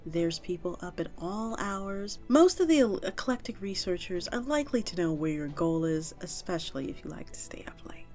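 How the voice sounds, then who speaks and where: A woman as a lively, friendly vampire innkeeper. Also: lowish voice, normal speed, few pauses, faint music behind. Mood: helpful, informative. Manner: animated, coaxing, conversational with little chuckles. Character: friendly vampire innkeeper, warm, nurturing, chatty tavern keep. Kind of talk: immersive audio roleplay addressed to the listener.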